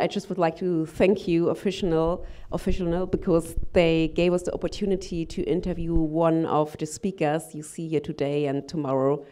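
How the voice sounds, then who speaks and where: A woman introducing speakers on a conference stage in a polite, halting, formal way. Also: low-pitched, warm tone. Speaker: conference presenter introducing guests.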